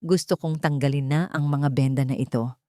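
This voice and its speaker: A woman's voice: very deep voice, steady tone, moderate pace, dark tone, dry recording, studio quality. A woman voicing a wounded, betrayed wife who is determined and intense, in an audiobook drama. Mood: firm. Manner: intense, emphatic.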